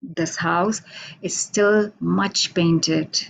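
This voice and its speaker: A woman from India with an Indian accent, a Hindi native speaker, giving a halting, unhurried poetry reading over a video call from a radio station office. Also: lowish voice, steady tone, gravelly, rough recording. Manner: unhurried, halting, with many fillers. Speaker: poet.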